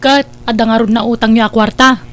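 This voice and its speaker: Woman acting high-voiced, nagging Ilocano elder sister. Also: fairly even intonation, brisk, natural voice. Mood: skeptical. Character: nagging, disapproving elder sister, a contented village wife. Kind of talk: Ilocano radio drama dialogue.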